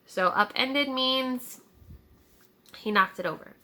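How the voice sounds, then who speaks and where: A woman with a bright, fairly high voice reads a storybook to young children. She takes her time, speaking slowly and deliberately. As a teacher would, she breaks off to explain words and put simple questions to her listeners. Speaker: teacher reading a picture book aloud to young children.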